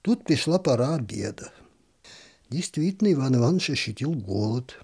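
Male narrator for a literary story, mellow and slightly husky, calm and expressive. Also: medium pitch, moderate pace. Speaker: audiobook narrator.

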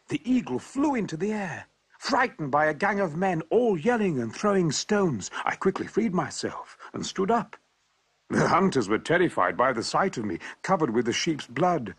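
Booming theatrical storybook narrator, man. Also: husky. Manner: theatrical, swings from hushed to booming. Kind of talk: dramatic storybook reading in first person.

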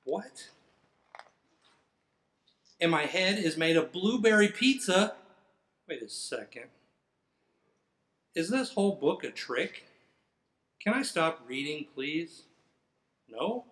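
Middle-aged male storyteller, unhurried and theatrical, with big swings in pitch for a kids' picture book. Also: big pitch swings, a bit bright. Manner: theatrical, playfully exaggerated reading. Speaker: firefighter. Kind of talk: children's picture book read-aloud. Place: indoors in front of a fire truck. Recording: roomy, echoing sound.

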